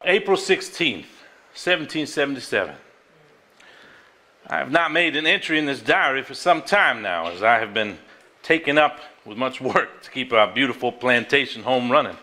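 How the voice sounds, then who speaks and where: A man's voice: mid-range voice, expressive, moderate pace, pushed and bright. A man playing an earnest, plain-spoken Southern family man in a stage drama, with a Southern US accent. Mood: solemn reading. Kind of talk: live stage acting. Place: theater stage before audience.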